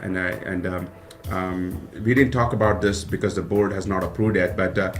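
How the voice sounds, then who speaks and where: Low-voiced man from South India, earnest. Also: steady tone, normal speed, a little hiss or hum. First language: Kannada. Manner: earnest, grateful, often restarting phrases. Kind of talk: casual radio interview. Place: radio studio.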